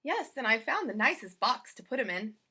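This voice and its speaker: A woman's voice: a little high, big pitch swings, brisk, pushed and bright, slightly rough, heavily acted. A woman voicing a cheerful, literal-minded storybook housemaid who is earnest and eager to please. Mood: proudly helpful. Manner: earnest, guileless, chirpy. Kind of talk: children's storybook character voice.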